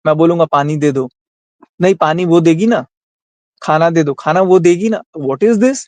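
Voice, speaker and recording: A man's voice: fairly high pitch, moderate pace, darkish tone, no echo. An Indian man arguing heatedly and insistently in a group discussion, often repeating his phrases. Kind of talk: heated argument in a group call.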